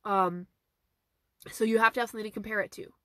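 Hoarse woman in a parked car. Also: mid-range voice, fairly even intonation, fast, bright, forceful tone, no echo, clean studio sound. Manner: earnest, repeats key points for emphasis. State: hoarse, losing her voice. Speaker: horse trainer hosting a live Q&A. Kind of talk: casual live-stream Q&A, reading viewer questions. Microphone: phone camera at arm's length.

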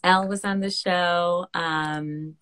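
Host, woman, slightly low voice, friendly and quick with questions. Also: steady tone, fairly bright tone, clean tone. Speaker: podcast host. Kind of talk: casual interview.

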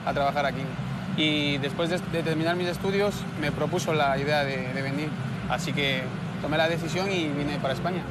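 A man's voice: on the high side, steady tone, fairly quick. A man in his thirties from Ecuador, a soldier answering interview questions. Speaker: soldier, tank driver. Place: outdoors at a military base beside tanks. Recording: loud outdoor vehicle and ambience noise.

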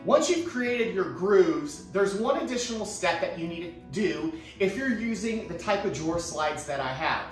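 A man's voice: high voice, animated, normal speed, few pauses, over music. Man teaching in an echoey workshop. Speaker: woodworking tutorial host. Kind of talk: casual step-by-step instruction. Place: indoor woodworking shop. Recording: roomy echoing workshop sound.

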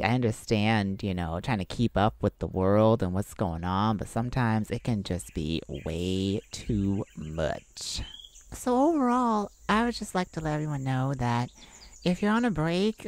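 A woman's voice: extremely low pitch, darkish tone. A woman hosting an improvised ASMR-style self-care podcast, talking in an informal, reassuring way. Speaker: podcast host.

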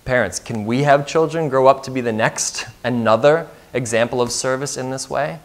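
A man's voice: medium pitch, normal speed. A man in his thirties preaching a sermon as pastor, earnest and putting questions to the congregation, recorded in a church sanctuary.

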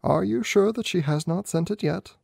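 A man voicing a keen, unflappable gentleman detective in a storybook reading, with brisk, inquisitive, clipped questions. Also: high voice, creaky, husky, dry recording, clean studio sound, pitched above the performer's own voice, heavily acted. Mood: probing. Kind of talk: amateur audiobook character reading.

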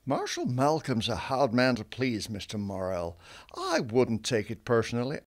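An actor playing a smug, mocking ranger boss in a radio drama. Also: male voice, medium pitch, wildly swooping pitch, moderate pace. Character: smug scheming male Texas Ranger boss.

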